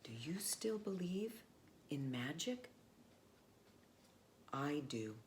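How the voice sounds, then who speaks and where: A woman pastor, reading a picture book aloud to children in an expressive, simple way. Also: low-pitched, drawn-out words, slight background noise. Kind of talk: storybook read-aloud, addressed to children.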